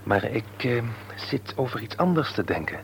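An actor playing a businesslike, helpful husband in a Dutch radio drama. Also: male voice, medium pitch, sing-song, normal speed, gravelly, slight background noise. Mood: thoughtful. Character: businesslike husband pondering plans, eager to help.

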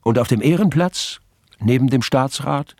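An actor playing a measured, wry storyteller, narrating a radio play in literary German sentences. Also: male voice, mid-range voice, a little mellow, dry recording, clean studio sound, natural voice.

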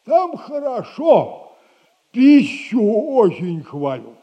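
A sly old retired soldier. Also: male voice, very high-pitched, wildly swooping pitch, warm tone, heavily acted. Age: older. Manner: slow, teasing, sardonic advice. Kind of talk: 1960s stage radio play acting. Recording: dull lo-fi 1960s radio play recording.

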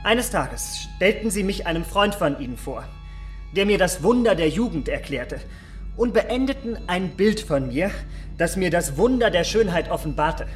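An actor playing a cold, cruel, vain young gentleman in a German radio play, speaking in a measured, taunting way. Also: high-pitched, animated, faint music behind. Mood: bitter, reflective.

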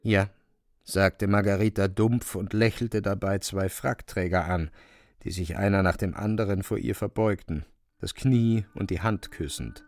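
A man's voice: low voice, measured pace, a little mellow, no room sound. A man narrating a literary radio play, telling the scene as a scripted story.

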